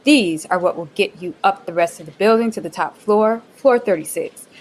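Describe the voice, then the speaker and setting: An actress playing a bubbly, bossy office manager in a radio play, talking in a chirpy sing-song way. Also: female voice, lowish voice, moderate pace, a little hiss or hum, close to an everyday voice. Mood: upbeat.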